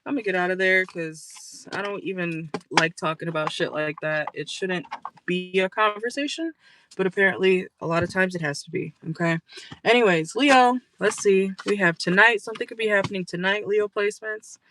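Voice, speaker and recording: A tarot reader talks straight to viewers from a parked car, blunt and freely swearing, with "okay?" capping almost every point. The voice is bright and sharp-edged but starting to wear thin. A faint hiss runs underneath. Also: mid-range voice, normal speed, rough recording. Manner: blunt and profane, tags lines with "okay?". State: losing voice. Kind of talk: casual tarot reading for viewers.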